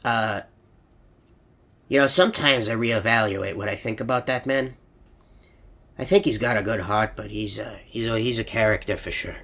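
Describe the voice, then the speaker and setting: A man's voice: lowish voice, moderate pace, long pauses, fairly bright tone. A man voicing a sweet elderly animatronic hippo in a video game, telling meandering stories in a stammering, earnest, hesitant way. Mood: fond, musing. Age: older. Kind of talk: rambling anecdotal monologue in a video game.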